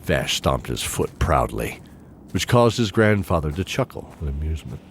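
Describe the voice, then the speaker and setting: A man's voice: extremely low pitch, very animated, moderate pace. A man narrating a scripted fantasy audio drama in storybook style. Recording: clear background ambience noise.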